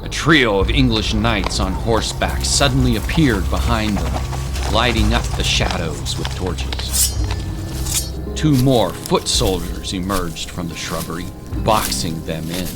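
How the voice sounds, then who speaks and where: A man's voice: low voice, a little slow. A man giving dramatic scripted narration of a story. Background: music.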